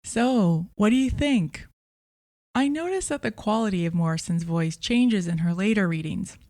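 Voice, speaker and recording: A woman's voice: mid-range voice, expressive, moderate pace, darkish tone, dry recording. Female podcast host, mid-range voice, scripted review narration, very dry recording.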